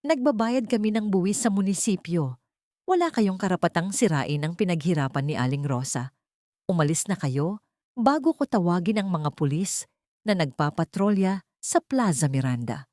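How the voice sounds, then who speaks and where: A woman's voice: on the low side, animated, moderate pace, a little mellow, dry recording, studio quality, close to an everyday voice. A woman voicing a brave, caring young market worker in an audiobook drama, earnest and steadfast. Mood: defiant.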